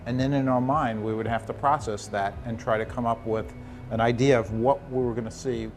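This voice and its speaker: A man's voice: mid-range voice, steady tone, fairly quick, darkish tone, a little hiss or hum. A man speaking as an interviewed medical expert, explaining things in unscripted speech. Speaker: doctor interviewed for news report. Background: music.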